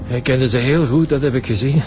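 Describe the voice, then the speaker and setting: A man's voice: mid-range voice, brisk, over music, natural voice. Actor as a menacing Flemish man out for revenge. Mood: insistent. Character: vengeful, threatening male assault victim, self-important. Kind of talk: radio drama acting.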